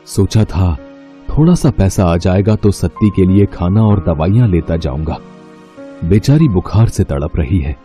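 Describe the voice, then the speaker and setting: A man plays a poor old cartoon fisherman with a lowish, dark and mellow voice. He sounds humble, tired and resigned, speaking plaintively over music. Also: expressive, unhurried. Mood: worried, plaintive.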